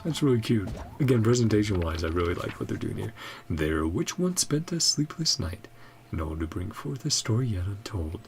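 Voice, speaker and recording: A woman's voice: extremely low pitch, very animated, a little fast, soft background music. A woman streamer giving casual game commentary and reading subtitles aloud in murmured, fragmentary asides.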